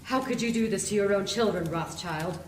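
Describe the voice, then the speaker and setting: A woman's voice: mid-range voice, fairly quick, slight background noise, lightly acted, low-quality recording. A woman playing a tough, hot-tempered sidekick in a noir audio drama. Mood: angry, urgent.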